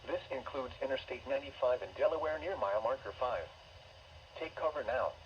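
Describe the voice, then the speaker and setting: A man's voice: mid-range voice, expressive, brisk. A synthesized man's voice reading formal emergency weather warnings in a stilted, scripted way as an automated radio announcer. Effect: synthesized voice over weather radio. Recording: dull, noisy weather radio broadcast.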